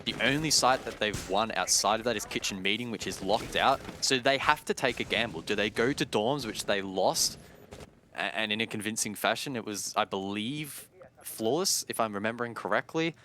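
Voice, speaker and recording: A man's voice: fairly low pitch, normal speed, sharp tone, a little hiss or hum. A man giving live esports commentary as an analyst, explaining play in an analytical way. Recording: music behind the voice. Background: music.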